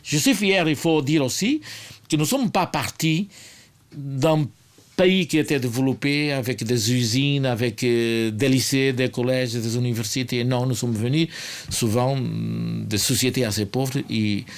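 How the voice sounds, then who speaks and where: A man's voice: mid-range voice, unhurried. A middle-aged man from Portugal with a Portuguese accent, speaking earnestly in a documentary interview with many hesitations and tag questions. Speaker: sociologist interviewee.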